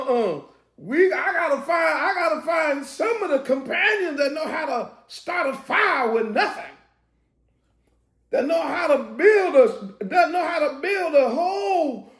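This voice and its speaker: A man preaches in a high, gravelly voice. He shouts and laughs through his asides, then slows down to read scripture. The room rings with echo. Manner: loose, shouting and laughing, then slow verse reading. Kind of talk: street-style sermon teaching.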